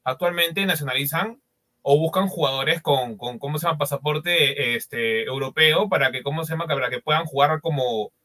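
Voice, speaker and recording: Man, bright voice, slightly high pitch, hesitant, lots of fillers. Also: moderate pace. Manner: searching for words, many fillers. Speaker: football show co-host. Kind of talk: casual football talk.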